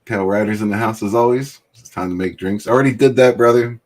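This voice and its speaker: A man's voice: fairly low pitch, quick pace. A man hosting a casual livestream chat, greeting viewers by name and laughing often. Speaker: livestream host.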